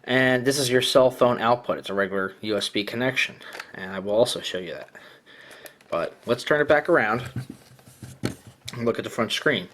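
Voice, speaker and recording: A man's voice: mid-range voice, moderate pace, long pauses, fairly bright tone. A man giving a casual, hands-on product demonstration, explaining things in a plainspoken way. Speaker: product reviewer.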